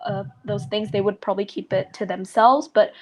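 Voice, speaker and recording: Teen woman, Indonesian native speaker, middle-pitched, rambling casual podcast guest. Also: fairly even intonation, brisk. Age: teens. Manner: rambling, many fillers and restarts.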